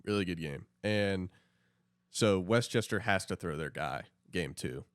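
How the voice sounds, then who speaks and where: A young man with a deep voice in a dry, close recording. He speaks as a podcast co-host, trading casual sports talk with friends in an everyday conversational style. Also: lively intonation, a little slow, clear, resonant tone, dry recording. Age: young adult.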